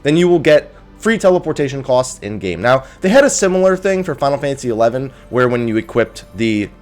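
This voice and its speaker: A man with a stuffy, nasal voice rattles through a casual gaming news update, his pitch swinging up and down as he jokes at himself. Faint music plays quietly behind him. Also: fairly quick. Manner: chatty, lively, self-amused asides. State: stuffy, nasal from just waking.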